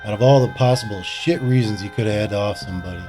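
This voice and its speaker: Give this a low-pitched male voice acted by a man: a bitter, world-worn police detective in a noir-like crime audio drama. He talks deliberately and broods over each line, with music running underneath. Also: close to an everyday voice. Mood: bitter, resigned.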